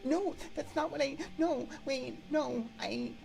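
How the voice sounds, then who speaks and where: A woman hosting a casual livestream chat, thinking aloud in a hesitant, halting way into a close microphone. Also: high-pitched, vocal fry, a little mellow, faint music behind. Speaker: livestream host. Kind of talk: casual livestream chat, answering viewer comments. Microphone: close binaural microphone.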